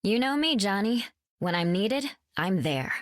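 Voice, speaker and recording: A woman playing a responsible, no-nonsense big-sister heroine in a video game, brisk and firm. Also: medium pitch, big pitch swings, no room sound, clean studio sound.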